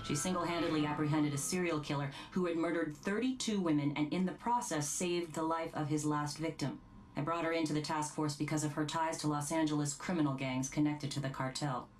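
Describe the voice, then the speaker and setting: A woman's voice: deep voice, steady tone, brisk, faint music behind, natural voice, low-quality recording. A woman playing an authoritative, formal American task force chief in a video game briefing. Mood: brisk, formal. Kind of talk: video game cutscene acting.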